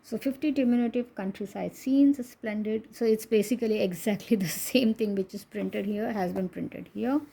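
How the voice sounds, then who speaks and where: Indian woman reviewer, mellow gravelly voice, chatty and self-deprecating. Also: mid-range voice, moderate pace, few pauses, a little hiss or hum. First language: Konkani. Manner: chatty, laughs often, self-deprecating asides. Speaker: coloring book reviewer. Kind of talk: casual book flip-through.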